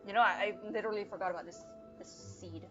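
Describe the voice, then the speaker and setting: Casting: female game streamer, slightly high, informal commentary, lo-fi mic over game music. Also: steady tone, fast. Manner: informal, slangy, reacts aloud to the game. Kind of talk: casual live gameplay commentary. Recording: dull lo-fi sound, game music behind.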